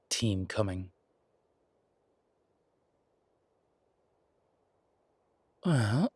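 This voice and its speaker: A man playing a commanding male incubus demon investigator in an audio roleplay, terse and colloquial, with a low, husky voice. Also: dry recording, heavily acted. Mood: weary, reassuring. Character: gruff, urgent male incubus demon investigator, protective, wryly friendly. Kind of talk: immersive audio roleplay acting.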